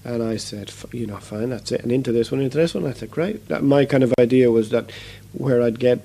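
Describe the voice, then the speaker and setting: A man talking about his music in a relaxed interview. His voice is mellow and dark. He rambles, with plenty of fillers and restarts. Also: mid-range voice, brisk, slightly rough. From Ireland. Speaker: guest musician. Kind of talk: casual interview chat.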